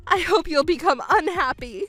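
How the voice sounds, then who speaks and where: A woman's voice: extremely high pitch, lively intonation, moderate pace, fairly bright tone, faint music behind. A woman voicing a selfish, self-pitying middle-aged stepmother in a melodramatic audio drama. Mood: indignant.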